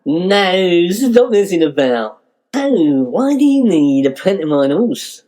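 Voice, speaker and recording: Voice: medium pitch, very animated, slow pace, dark tone. A performer playing a silly, eager, camp applicant for a pantomime horse job in radio sketch comedy. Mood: gleeful. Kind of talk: sketch comedy in the manner of 1950s British radio. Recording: clear background noise.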